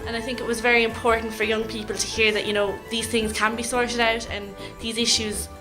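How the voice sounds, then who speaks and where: A woman's voice: a little high, little pitch movement, a little fast, low-quality recording. A young woman with an Irish accent explaining her acting part in a casual interview. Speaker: amateur actress being interviewed. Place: launch event at an arts centre. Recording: music playing in the background.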